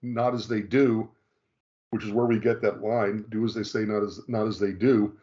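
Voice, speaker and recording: A man's voice: lowish voice, little pitch movement, moderate pace, warm tone, lo-fi sound. A man appearing as a rabbi guest, explaining emphatically and repeating his key phrases. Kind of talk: explanatory discussion.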